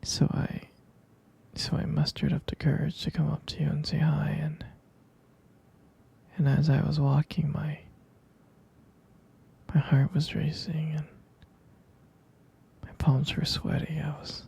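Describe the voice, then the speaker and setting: A man's voice: fairly high pitch, monotone, dark tone, exaggerated voice. A man playing a tender, sleepy half-vampire boyfriend in an intimate ASMR roleplay, murmuring and whispering hesitantly with affectionate repetition. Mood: tender, nostalgic. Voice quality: breathy, gravelly whisper and murmur. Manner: slow, hesitant, repeating phrases, affectionate.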